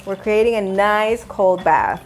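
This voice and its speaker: A woman's voice: mid-range voice, lively intonation, unhurried, slight background noise. A woman hosting a home cooking show, giving upbeat step-by-step instructions and laughing often. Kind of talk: cooking demonstration. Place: home kitchen.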